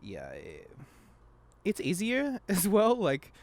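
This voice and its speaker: A man's voice: sits high, wildly swooping pitch, takes it slowly. A young man chatting casually as a podcast guest, giggly and self-deprecating. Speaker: podcast guest, amateur voice actor. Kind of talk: casual chat.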